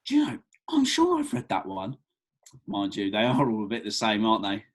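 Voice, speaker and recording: A man's voice: on the low side, wildly swooping pitch, brisk, husky, full character voice. A man acting an affable, cheeky, slightly hapless young man in a comedy script read, with hesitant, wry colloquial delivery.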